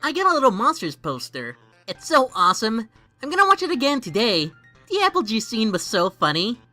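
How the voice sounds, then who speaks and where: Casting: a woman as a nerdy young boy, teasing and earnest, animated and higher-pitched delivery over background music. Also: brisk, heavily acted. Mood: excited. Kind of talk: amateur comic fan dub dialogue.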